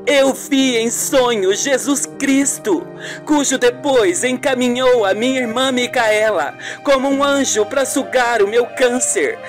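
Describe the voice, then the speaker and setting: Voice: mid-range voice, a little slow, soft background music, voice pushed up, close to an everyday voice. A performer voicing an earnest, grateful young woman patient in a radio soap opera. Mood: amazed, joyful.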